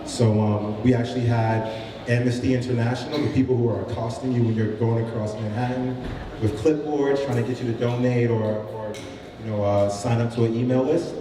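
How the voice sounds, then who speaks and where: A man who founded a startup gives an informal pitch talk to a live audience, with many fillers and restarts. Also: fairly even intonation, a little fast, background noise. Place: event room with audience. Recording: roomy hall, mic from a distance.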